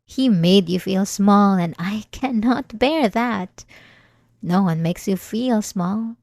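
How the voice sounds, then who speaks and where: A woman playing an obsessed young maid in a scripted ASMR roleplay, devoted and quietly menacing, with formal, composed, intimate delivery. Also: medium pitch, slow, dry recording, clean studio sound. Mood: calm, cold, devoted.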